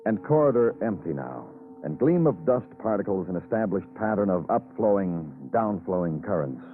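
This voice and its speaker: An actor playing a world-weary police lieutenant, narrating an old radio noir drama with solemn, deliberate phrasing. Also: male voice, low voice, very animated. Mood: somber. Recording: tinny old radio recording, music behind.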